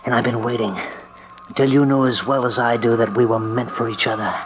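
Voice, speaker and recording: A man's voice: medium pitch, fairly even intonation, a little fast, noisy background, natural voice. An actor playing a brash drifter pressing a married woman, in 1940s radio acting style. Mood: seductive. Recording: dull lo-fi old radio recording.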